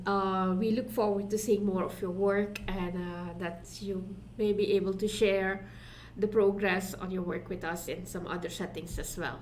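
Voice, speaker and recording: A woman's voice: medium pitch, a little hiss or hum. Woman hosting with a Dutch accent. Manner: warm, effusive introductions with many fillers. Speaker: summit host. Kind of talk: online event moderating.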